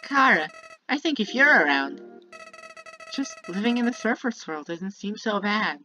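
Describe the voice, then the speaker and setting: A woman's voice: mid-range voice, wildly swooping pitch, unhurried, sharp tone, heavily acted, low-quality recording. A woman voicing a sinister, childlike talking flower from a video game, bitter and manipulative, delivering a theatrical monologue that moves between sweet musing and menace. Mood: confiding, scheming. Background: prominent music.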